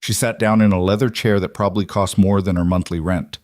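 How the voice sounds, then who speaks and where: A man reading a dramatic story as its narrator, in a low, steady voice. The recording is dry and close, with no room around it. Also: fairly quick, dry recording.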